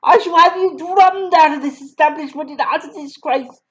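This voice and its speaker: A woman doing a cruel booming headmistress. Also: measured pace, a little mellow. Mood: ranting. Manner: booming, sneering, spits insults. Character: huge bullying female headmistress, cruel, contemptuous. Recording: dull lo-fi recording.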